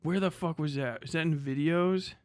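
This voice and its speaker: A man's voice: on the high side, moderate pace, no echo, studio quality. A man live streaming in casual reaction chat, talking in an exclamatory, profane way.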